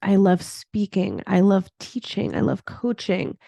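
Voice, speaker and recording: A woman's voice: mid-range voice, lively intonation, measured pace, creaky, mellow, no room sound. A woman in her thirties hosting her own podcast in a chatty, casual way, peppering her talk with "like" and laughing at herself. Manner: chatty, laughs often, fillers like "like". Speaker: podcast host.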